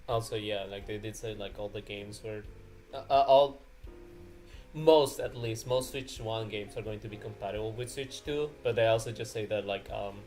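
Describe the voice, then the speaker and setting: A man's voice: on the low side, normal speed, a little mellow. A man hosting a live reaction stream, chatting casually and animatedly with friends. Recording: music playing behind the voice. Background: background music.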